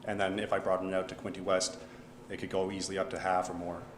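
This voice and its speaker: A man's voice: sits low, level tone, quick pace, a little hiss or hum. A man answering questions in a hesitant way, full of fillers. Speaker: petition organizer. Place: council chamber. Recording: echoey chamber from a distance.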